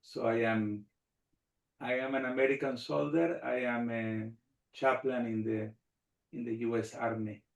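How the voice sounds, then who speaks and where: Gravelly Spanish-native rabbi, echoey room. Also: male voice, medium pitch, fairly even intonation. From Argentina. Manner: measured, repeats phrases in lists. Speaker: rabbi and panelist. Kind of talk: panel talk.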